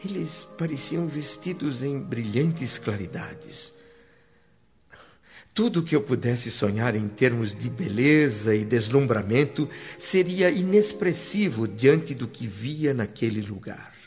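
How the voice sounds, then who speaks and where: A man's voice: medium pitch, slow pace. Solemn, awestruck Brazilian male narrator. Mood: awed, serene. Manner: solemn, reverent, expressive. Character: André, reverent male spirit newcomer recounting his awe. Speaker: narrator and lead actor. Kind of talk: radio drama narration. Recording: dull lo-fi radio drama, music behind. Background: music.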